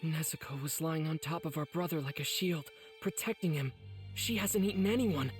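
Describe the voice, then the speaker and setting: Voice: mid-range voice, moderate pace, soft background music, lightly acted. An actor voicing an earnest, polite teenage boy swordsman in an anime video game dub. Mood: quiet, sombre.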